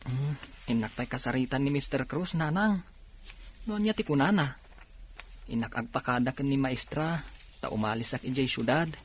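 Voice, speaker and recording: An actor with a Filipino accent playing a dutiful, hardworking teenage son in a radio drama, earnest and respectful. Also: male voice, mid-range voice, normal speed, long pauses, a little hiss or hum, natural voice. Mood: earnest, hopeful. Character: dutiful, hardworking teenage son and older brother from poor village. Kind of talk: Ilocano radio drama acting.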